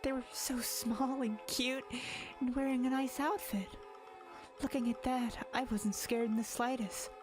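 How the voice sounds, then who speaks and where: A timid teenage girl, voiced by a woman in a visual novel dub. She stammers, pleads and drops into breathy whispers when upset, fragile and easily shaken. Music plays softly behind her. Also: sits high, fairly even intonation, unhurried, pitched above the performer's own voice. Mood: nervous, giddy. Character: timid, distraught teenage girl slowly turning giddy. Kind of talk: visual novel fan dub, dramatic reading.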